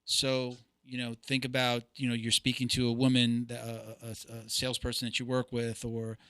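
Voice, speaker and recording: Bright-voiced man hosting a podcast. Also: mid-range voice, completely flat delivery, normal speed, smooth, no room sound, clean studio sound. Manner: earnest, chatty, hesitant with fillers. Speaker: podcast host. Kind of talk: casual interview chat.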